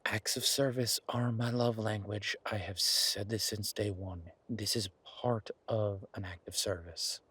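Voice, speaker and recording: Guy acting as a sweet teasing chef boyfriend in a romance roleplay, kinda deep and husky, rambles to make his case. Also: steady tone, unhurried, few pauses, a bit bright. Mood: affectionate, teasing. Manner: teasing, earnest, rambling self-justification. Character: affectionate eager chef boyfriend, playful, food-loving, werewolf romance. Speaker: audio roleplay performer. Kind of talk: intimate conversational boyfriend audio roleplay.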